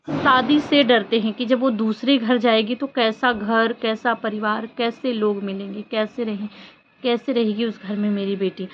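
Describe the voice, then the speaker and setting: A woman vlogger giving casual opinion commentary in an emphatic, conversational way with rhetorical questions. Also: a little high. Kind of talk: casual opinion commentary on social media drama. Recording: dull lo-fi audio with background noise.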